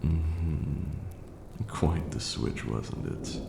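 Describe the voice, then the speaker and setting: Man playing a very deep, gravelly vampire lord. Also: echoey room, background noise, full character voice. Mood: proud, reminiscing. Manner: measured, formal, hushed, with long pauses. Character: ancient male vampire lord, wistful, proud, tender. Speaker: ASMR roleplay performer. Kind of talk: ASMR roleplay, slow intimate storytelling.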